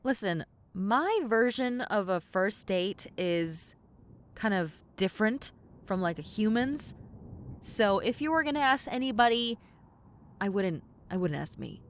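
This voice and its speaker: Centuries-old demon woman for audio roleplay, played by a woman: tomboyish succubus, exasperated yet kind, casual delivery, very animated intonation. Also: medium pitch, unhurried, a little hiss or hum, natural voice. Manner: casual, wry, flustered, hesitant stammering. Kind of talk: audio roleplay acting, spoken to the listener.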